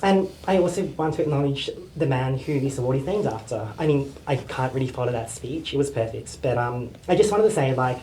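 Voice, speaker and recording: Soft-spoken woman, very low voice. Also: a little hiss or hum. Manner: soft-spoken, halting with fillers. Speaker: award recipient. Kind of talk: acceptance speech. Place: event venue, large room. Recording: echoey room recording.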